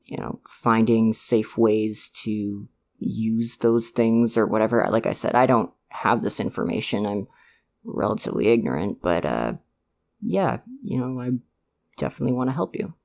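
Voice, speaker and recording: A man playing a supportive, gently protective dad in an ASMR roleplay, speaking in a hesitant, earnest, reassuring way to the listener. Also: on the low side, animated, normal speed, gravelly. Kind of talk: ASMR roleplay, one-sided conversation with listener.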